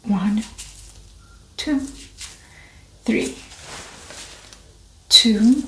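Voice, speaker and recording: A woman's voice: fairly high pitch, lively intonation, drawn-out words. A woman playing the patient in an ASMR medical exam roleplay, giving short, plain answers. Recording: roomy, distant mic, faint noise.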